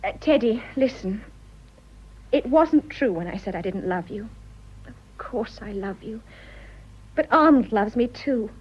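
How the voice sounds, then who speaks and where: High, breathy young British wife. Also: female voice, lively intonation, a little slow, pauses often. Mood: torn, pleading. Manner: earnest, emotional. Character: torn, passionate young English wife in love. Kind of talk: radio drama acting. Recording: dull, muffled radio drama audio.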